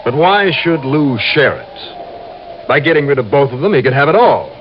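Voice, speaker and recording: A man's voice: mid-range voice, expressive, normal speed, slight background noise, lightly acted. An actor playing a tough, determined male investigator in an old-time radio drama, narrating his case in a clipped, dramatic, hard-boiled manner. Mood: insistent. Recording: muffled vintage radio recording, dramatic music behind.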